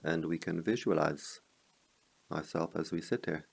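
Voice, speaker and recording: A man leading a guided meditation as a teacher, speaking in slow, measured phrases with long pauses. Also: extremely low pitch, creaky, husky.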